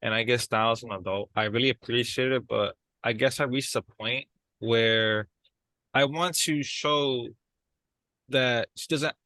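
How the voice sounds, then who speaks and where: A man's voice: medium pitch, unhurried, pushed and bright, dry recording. An actor playing an earnest younger brother in a therapy session, groping for words as he speaks. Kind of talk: improvised dramatized therapy session.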